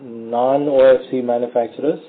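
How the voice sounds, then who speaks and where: Male, Indian accent, company executive on a call. Measured, halting delivery, thin telephone sound. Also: medium pitch, flat delivery. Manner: halting, measured, hesitant phrasing with frequent pauses. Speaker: company executive answering analyst questions. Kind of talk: earnings call question and answer. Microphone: conference call phone line. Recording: tinny narrowband phone line.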